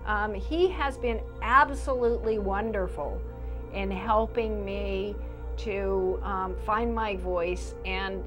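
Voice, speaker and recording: A forty-nine-year-old woman hosting her own show, speaking to the camera in an earnest, halting way with frequent restarts. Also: mid-range voice, over music. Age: 40s. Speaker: show creator and host. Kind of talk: personal address to camera.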